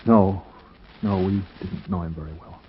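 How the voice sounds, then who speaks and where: An actor playing an awkward, kindly friend with a halting, tentative way of talking, in 1940s radio drama style. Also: male voice, deep voice, fairly even intonation, slight background noise, close to an everyday voice. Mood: subdued. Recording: muffled, lo-fi 1940s radio recording.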